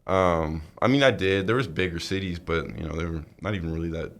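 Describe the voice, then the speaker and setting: A young American man in his twenties chatting casually, in a halting, rambling way that often doubles back to correct itself. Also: sits low. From rural Iowa, United States. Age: 20s. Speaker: podcast co-host. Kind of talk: casual chat.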